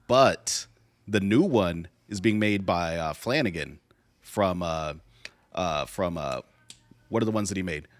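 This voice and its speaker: A man co-hosting a podcast, talking casually and haltingly as he searches for words and repeats himself. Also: low voice, no room sound. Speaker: podcast co-host. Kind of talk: casual podcast discussion about movies.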